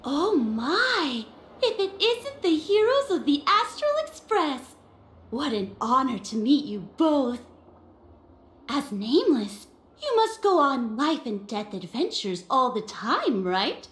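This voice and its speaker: A woman's voice: sits high, big pitch swings, a bit bright, exaggerated voice. An actress playing a cheery, theatrical young woman in an anime game, welcoming people effusively and without hurry. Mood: delighted welcome. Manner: effusive, unhurried. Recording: echoey game audio with light music.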